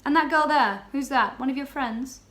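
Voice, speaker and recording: A woman voicing a brash, self-assured male stranger who speaks calmly and mockingly. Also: sits high, normal speed, voice pushed up. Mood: appraising.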